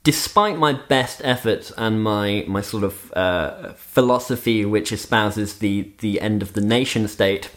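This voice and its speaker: A man with an English accent who grew up in Switzerland, chatting casually as a podcast co-host, animated and often laughing through his restarts. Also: fairly low pitch, a little slow, hardly any pauses. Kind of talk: casual chat.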